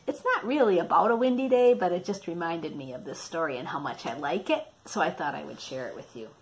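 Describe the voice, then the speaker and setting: A woman reading a children's picture book aloud expressively, with dramatic pauses and questions for young listeners, like a teacher. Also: fairly low pitch, a little hiss or hum, poor audio.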